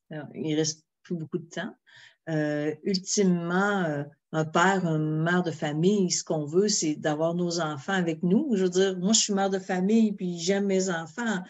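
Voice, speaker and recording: A woman's voice: on the low side, steady tone, normal speed, muffled recording. A woman host with a Québécois accent, interviewing a guest in a chatty way with frequent fillers. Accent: Québécois French. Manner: warm-hearted, chatty, many fillers. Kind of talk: interview chat.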